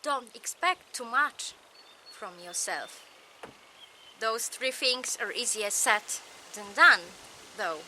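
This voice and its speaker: A woman with a Polish accent, a native Polish speaker, giving a recorded lecture in slow, measured, short phrases. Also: fairly high pitch, lively intonation, sharp tone, a little hiss or hum.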